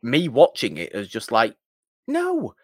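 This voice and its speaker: A man co-hosting a film podcast, chatting casually in a halting, rambling way with emphatic repetition. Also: mid-range voice, wildly swooping pitch, slightly rough, no echo, studio quality.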